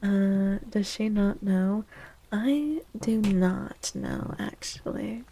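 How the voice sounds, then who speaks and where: A woman streaming as a tarot host, speaking gently in a breathy ASMR style that often drops to a whisper, close to the microphone. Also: medium pitch. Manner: gentle, slow, drawn-out phrasing. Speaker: livestream host doing tarot readings. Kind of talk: soft-spoken ASMR, chatting to viewers.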